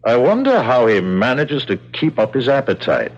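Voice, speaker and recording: An actor playing a grimly patient, callous prison keeper in a 1970s radio drama, speaking in measured, resigned, terse phrases. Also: male voice, mid-range voice, very animated. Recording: dull vintage radio recording.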